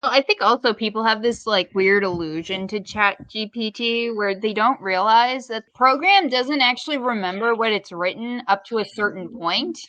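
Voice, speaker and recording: A woman's voice: fairly high pitch, moderate pace, few pauses, pushed and bright, no room sound, highs cut off. A woman co-hosting a podcast in casual, opinionated conversation, blunt and informal with a jokey streak. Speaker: podcast co-host.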